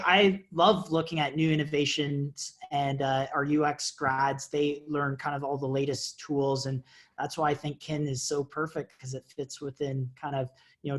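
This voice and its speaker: A man's voice: medium pitch, little pitch movement, moderate pace, a bit bright. A man in his thirties, answering community questions on a video call in an enthusiastic, explanatory way, with frequent uhs. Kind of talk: casual video call interview. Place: indoor office with banner. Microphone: earphone headset on video call.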